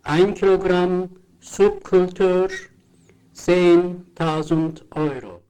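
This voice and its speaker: Man slowly chanting repeated slogans. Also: high voice, fairly even intonation, warm tone, smooth, poor audio. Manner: repetitive, chant-like.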